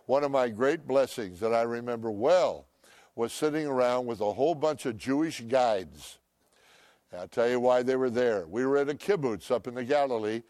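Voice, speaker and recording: An older man preaching a sermon, loud and emphatic, swinging between shouts and hushed asides. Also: medium pitch, no echo. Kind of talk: impassioned sermon.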